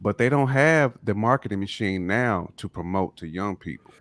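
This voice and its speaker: American guy on a podcast, lowish voice, chatting casually, really drives his points home. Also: expressive, normal speed, a bit bright, no room sound. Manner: emphatic, repeats his points. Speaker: music podcast panelist. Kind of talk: casual chat, opinionated discussion.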